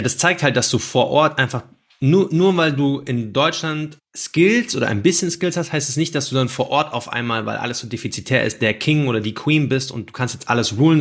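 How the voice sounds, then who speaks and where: A man's voice: medium pitch, fairly bright tone. A man co-hosting a conversational podcast, a journalist who thinks aloud in a reflective way.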